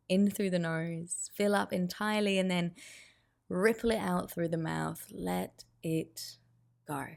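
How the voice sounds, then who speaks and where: A woman guiding a meditation as a teacher, giving soothing, unhurried instructions with pauses. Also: on the low side, creaky, a little mellow. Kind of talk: guided meditation.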